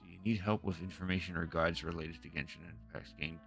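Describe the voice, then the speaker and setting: A man streaming a video game with casual commentary, halting and repeating his phrases as he talks half to himself. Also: low voice, steady tone, vocal fry, a bit bright. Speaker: game livestreamer. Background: game music.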